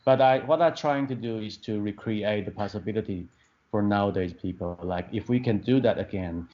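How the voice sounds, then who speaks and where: A man's voice: fairly low pitch, moderate pace. A man in his fifties from Taiwan, speaking English as an in an interview, hesitant, with many restarts and fillers as he searches for words. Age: 50s. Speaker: film director. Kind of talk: interview answers. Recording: dull lo-fi podcast audio.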